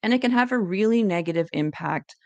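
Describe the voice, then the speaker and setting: A woman's voice: mid-range voice, animated, fairly quick, a little mellow, dry recording. A woman giving an educational presentation, reading encouraging tips in a scripted, list-like way.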